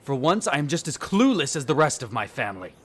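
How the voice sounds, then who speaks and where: A man playing a smug, bitter vampire noble, the eldest son, in an audio roleplay, speaking in a haughty, sardonic way with drawn-out theatrical phrasing. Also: mid-range voice, sing-song, husky. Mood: angry outburst. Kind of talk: scripted audio roleplay acting addressed to the listener.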